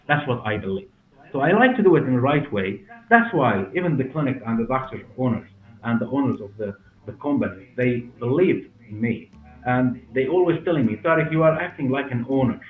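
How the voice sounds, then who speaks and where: A man in his forties with a Syrian Arabic accent, answering interview questions in halting, repetitive non-native English. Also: mid-range voice, animated. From Damascus, Syria. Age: 40s. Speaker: hair clinic director and interview guest. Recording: roomy, muffled, narrow sound with music behind.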